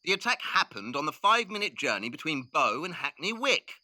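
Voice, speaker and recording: Arrogant Victorian sleuth, highish animated voice, dry close sound, brusque, audio play. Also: male voice, fairly quick, no echo, heavily acted. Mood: smug, analytical. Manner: brusque, condescending, theatrical. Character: brilliant, arrogant, impatient Victorian male detective. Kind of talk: audio drama acting.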